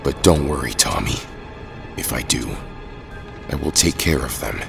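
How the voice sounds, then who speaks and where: An actor in a superhero audio drama playing a ruthless, commanding, menacing warlord, with loud music underneath. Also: male voice, rumbling low, moderate pace, gravelly, full character voice. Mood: cold, menacing.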